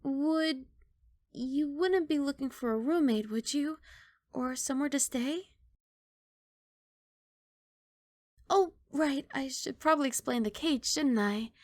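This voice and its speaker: A woman playing a young, sweet, apologetic giant dragon girl in an intimate ASMR roleplay, soft and coaxing with hesitant rambling. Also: high voice, unhurried, dry recording, clean studio sound. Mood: nervous, friendly. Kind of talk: ASMR roleplay, intimate one-sided dialogue.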